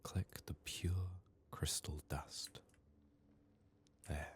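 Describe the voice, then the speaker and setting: A man giving soft-spoken ASMR game commentary, murmuring haltingly and slipping into whispers, his voice strained from being sick. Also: a bass voice, steady tone. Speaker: ASMR gaming video host.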